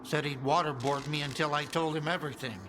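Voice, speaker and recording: An actor playing an anxious scientist who explains grave matters earnestly in a video game. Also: male voice, on the high side, steady tone, fast talker, background noise, close to an everyday voice. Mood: grave, uneasy. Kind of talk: video game cutscene acting.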